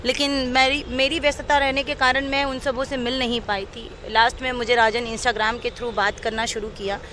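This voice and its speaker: A woman with a fairly high voice gives a detailed, earnest account in an interview. She speaks unscripted, outdoors in a city, with faint background noise. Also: little pitch movement, normal speed, bright, forceful tone. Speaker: interviewee telling her own account.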